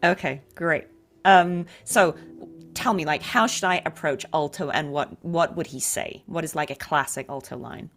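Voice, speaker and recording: A woman hosting a casual, chatty interview as a voice actor, talking in an encouraging, expressive way. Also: low-pitched, normal speed, faint music behind.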